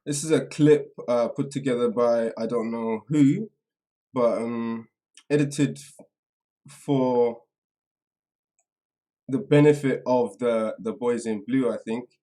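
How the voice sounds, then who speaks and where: A man hosting a live stream in casual, slangy chat, halting and trailing off as he goes, a little away from the microphone. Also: medium pitch, fairly even intonation, mellow, rough recording. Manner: informal, slangy address to viewers; halting, distracted, trailing off mid-sentence. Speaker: livestream host. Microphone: some distance from the microphone.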